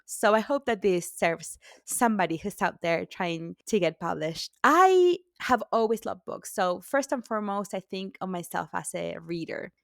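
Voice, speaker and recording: A woman's voice: medium pitch, lively intonation, normal speed, gravelly, hardly any pauses, dry recording, clean studio sound. A middle-aged woman from Argentina, a native Spanish speaker, chatting as an author guest in a podcast interview, laughing often and restarting her sentences.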